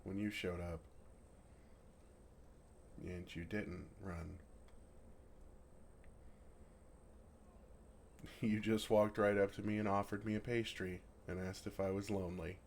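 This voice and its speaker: A man playing a gentle, affectionate, innocently oblivious dragon in an intimate, earnest ASMR roleplay. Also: sits low, flat delivery, unhurried, pauses often, a little hiss or hum. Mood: fond reminiscing. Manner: earnest, softly teasing, innocently puzzled.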